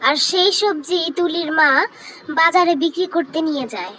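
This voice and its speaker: A woman's voice: very high-pitched, normal speed, fairly bright tone, slight background noise. A woman reading scripted narration for a children's cartoon story.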